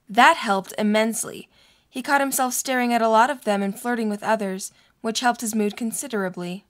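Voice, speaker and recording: A woman reading scripted narration for a story. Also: a little high.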